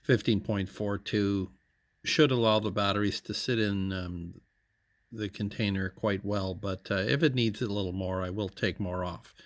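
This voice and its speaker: A man giving an unscripted how-to narration as a hobbyist, matter-of-fact and hesitant, often pausing mid-sentence. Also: fairly low pitch, fairly even intonation, no echo. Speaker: hobbyist electronics repair demonstrator.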